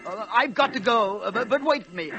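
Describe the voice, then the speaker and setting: Fussy, high, swooping pompous locomotive. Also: male voice, animated, fairly quick, creaky, bright, forceful tone, dull audio, soft background music, full character voice. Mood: fussy, flustered. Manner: genteel, pompous, fretful. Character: fussy, theatrical, self-important male locomotive, Mister Scott. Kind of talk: 1940s radio acting.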